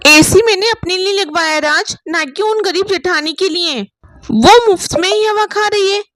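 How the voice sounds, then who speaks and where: Woman voicing a haughty young rich wife, very high-pitched. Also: moderate pace, a bit bright, dry recording, clean studio sound. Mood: annoyed, bossy. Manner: taunting, sneering, dramatic. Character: haughty, spiteful young rich daughter-in-law.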